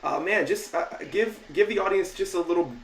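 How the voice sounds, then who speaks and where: A man's voice: high voice, a little fast, a bit bright, reverberant, far from the mic, slight background noise, rough recording. A man hosting a podcast in upbeat, casual chat, often calling his guest "man". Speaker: podcast host.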